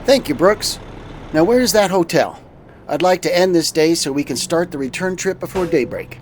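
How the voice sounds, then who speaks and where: A man's voice: a little high, lively intonation, normal speed, slight background noise. A man hosting a semi-scripted vlog in the role of a trucker captain. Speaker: video host playing a trucker captain.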